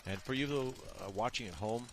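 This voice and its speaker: A man's voice: medium pitch, moderate pace, fairly bright tone, a little hiss or hum. A man commenting live on a paintball match from a booth, chatty and joking with his co-host.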